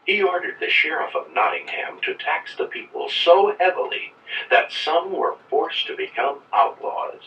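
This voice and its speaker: A man reads a children's story in an animated, scripted narration. The sound is echoey and set back, like an old record with faint noise. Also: on the high side, moderate pace, far from the mic.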